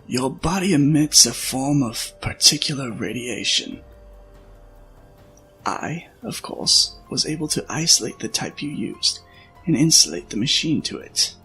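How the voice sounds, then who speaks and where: An actor playing an old, weary scientist in a science fiction audio drama, speaking in a measured, explanatory way with a creaky voice. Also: male voice, mid-range voice, animated, pushed and bright, rough recording. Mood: grave, explanatory. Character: old, worn male scientist, weary, reflective friend. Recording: music under the voice.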